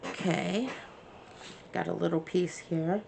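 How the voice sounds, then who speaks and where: A woman's voice: fairly low pitch, steady tone, slow pace, creaky, darkish tone, a little hiss or hum. A woman hosting a craft tutorial, chatting casually and thinking aloud as she works, a bit congested from her sinuses. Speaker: crafting channel host.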